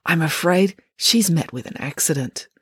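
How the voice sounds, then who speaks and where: A woman voicing a brisk, eccentric scientist mother who breezily talks over everyone in a storybook reading. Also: low voice, slightly rough, no echo, studio quality. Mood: breezy.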